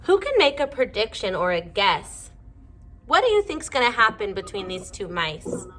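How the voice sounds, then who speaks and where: A woman teacher reading a storybook aloud to young children, pausing to ask them simple questions. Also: mid-range voice, big pitch swings, fairly bright tone.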